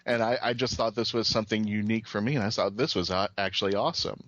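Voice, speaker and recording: A man's voice: fairly low pitch, steady tone, fast talker, fairly bright tone. A man talking informally as a podcast co-host interviewing a guest. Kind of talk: casual interview chat. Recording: dull lo-fi audio.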